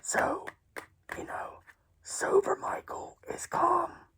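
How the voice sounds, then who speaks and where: A woman whispering a personal story in a hushed, tearful, casual way, swearing freely. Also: slow pace.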